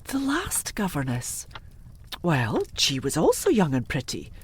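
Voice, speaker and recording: An actress as an old-fashioned housekeeper in a gothic audio play, pitched fairly low with enormous swoops of intonation. She is emphatic, loyal and deferential, over faint background noise. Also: huge pitch swings, a little slow, full character voice. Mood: guarded. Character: loyal older housekeeper, indignant, discreet, plain-spoken. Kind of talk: audio drama acting of a gothic period story.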